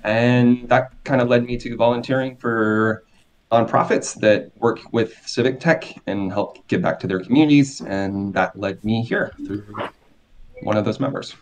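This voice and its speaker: A man's voice: mid-range voice, normal speed. A man from the United States introducing himself on a video call, friendly with some fillers. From Pacific Northwest, United States. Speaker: newcomer, aspiring developer.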